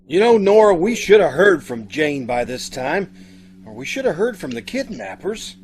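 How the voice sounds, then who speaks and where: A man's voice: on the high side, big pitch swings, normal speed, a little mellow. A man playing a fretful, gushing newlywed husband in an old-time radio comedy-mystery. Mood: worried. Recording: faint background noise.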